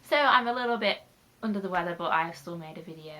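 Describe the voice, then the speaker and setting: A woman's voice: lowish voice, animated, quick pace, pushed and bright. A young British woman with a slightly nasal voice from a cold, rambling chattily through a casual vlog. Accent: British English. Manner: rambling, chatty, with frequent fillers. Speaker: YouTuber.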